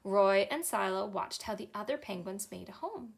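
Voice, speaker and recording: A woman in her thirties with a Canadian accent, a children's librarian reading a picture book aloud in a cheerful, expressive storytime manner. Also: medium pitch, moderate pace, creaky, breathy edge. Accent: Canadian English. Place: small indoor library room.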